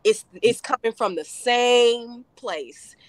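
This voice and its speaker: A woman's voice: fairly high pitch, no room sound. A woman, a guest in a casual conversation, speaking with passion and emphasis. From United States. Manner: passionate, emphatic, speeds up and slows down.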